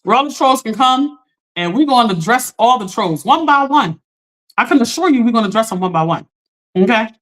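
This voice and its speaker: A woman's voice: fairly quick, low-quality recording. Casual woman hosting a live chat, voice still recovering. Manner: chatty, talks straight to chat, animated pitch jumps. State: recovering from lost voice. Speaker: livestream host.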